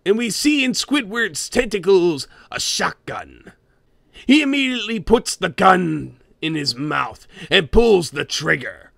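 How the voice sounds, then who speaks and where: A man voicing a greedy, grumpy cartoon crab boss who reads a horror story in a theatrical, expressive way. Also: fairly high pitch, vocal fry, dry recording, clean studio sound, full character voice. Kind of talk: dramatic creepypasta reading.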